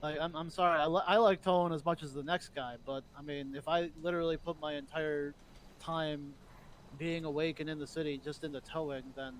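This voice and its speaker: A man's voice: a little high, steady tone, a little fast, a little hiss or hum, low-quality recording. A man in casual role-play game chat, grumbling bluntly with plenty of swearing. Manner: blunt, profane, grumbling rant.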